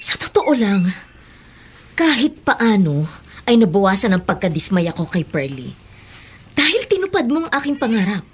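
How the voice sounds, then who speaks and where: An actress in a Filipino radio drama playing a stubborn, disillusioned elder sister, curt and reluctant. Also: female voice, medium pitch, big pitch swings, normal speed, pauses often, slightly rough. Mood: grudgingly softening.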